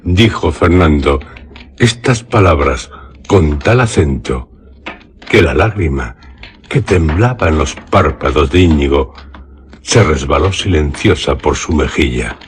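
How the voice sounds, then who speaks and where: A man narrating a literary radio drama in a measured, solemn way. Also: very deep voice, expressive, slight background noise.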